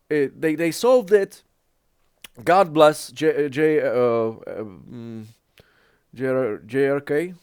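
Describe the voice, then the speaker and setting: A man who is not a native English speaker, giving casual reaction commentary in a halting way, often searching for words and restarting. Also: medium pitch, clear, resonant tone, no room sound, studio quality. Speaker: reaction video creator.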